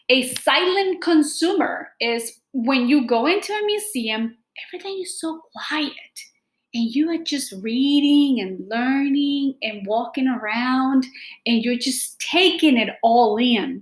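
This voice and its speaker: High-voiced woman teaching, slow and echoey. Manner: deliberate, explanatory, addressing pupils directly. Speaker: teacher reading an article aloud to students. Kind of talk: read-aloud lesson with simple explanations. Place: small room at home, indoors. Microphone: laptop or webcam microphone at desk distance.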